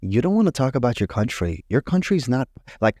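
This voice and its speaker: A man's voice: medium pitch, big pitch swings, brisk, warm tone, no echo, studio quality. A man from Afghanistan who moved to Canada as a child, co-hosting a podcast with earnest, slangy, emphatic and impassioned casual talk. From Afghanistan, moved to Canada at almost ten. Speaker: podcast co-host.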